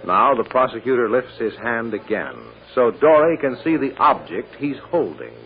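Male narrator, mid-pitched and husky, suspenseful old radio delivery on a muffled recording. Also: animated. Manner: measured, suspenseful. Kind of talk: scripted dramatic narration, 1940s radio.

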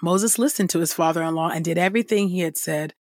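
A woman reading scripture and praying as a devotional host, with measured, reverent phrasing. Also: fairly low pitch, dry recording, studio quality. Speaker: devotional podcast host and Bible reader.

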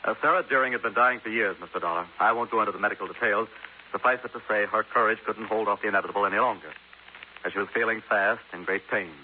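On a narrow, faintly hissy 1950s radio recording, a brusque American physician, played by a man, talks extremely fast. He sounds curt, impatient and authoritative, in period radio drama style. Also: fairly low pitch, lightly acted. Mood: somber explaining.

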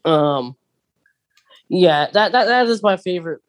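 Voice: mid-range voice, lively intonation, unhurried. A podcast co-host in casual chat, talking hesitantly with many fillers and restarts while steering the conversation with questions.

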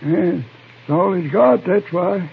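A tired old frontiersman in his seventies, talks slowly in a raspy, high voice. He is meek and plain-spoken, heard through a narrow, vintage radio western recording. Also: full character voice. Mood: weary, feeble. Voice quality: husky, creaky, weary.

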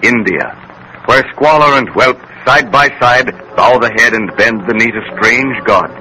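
A man narrating a scripted 1930s radio drama in a dramatic, measured announcer style. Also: medium pitch, bright, forceful tone. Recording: noisy, hissy 1930s radio recording.

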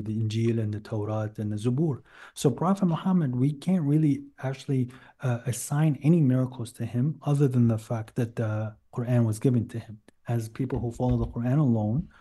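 A man's voice: medium pitch, mellow. A man arguing a religious point informally as a debate guest, explaining it insistently. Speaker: debate guest defending Islam.

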